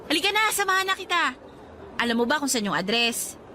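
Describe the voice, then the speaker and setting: Bubbly young Filipina, high bright voice, playful, teasing, expressive radio drama delivery. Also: female voice, brisk, slight background noise, exaggerated voice.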